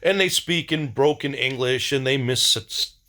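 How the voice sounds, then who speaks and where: A man's voice: medium pitch, a little slow, sharp tone. Casual enthusiastic man rambling informally. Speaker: video diary host. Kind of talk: casual rambling monologue reviewing favorites.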